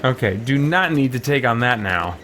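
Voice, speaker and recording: A man's voice: medium pitch, animated, moderate pace. A man giving casual video game commentary, musing aloud to himself as he plays. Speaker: video game streamer, host. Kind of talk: casual let's play commentary. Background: game music.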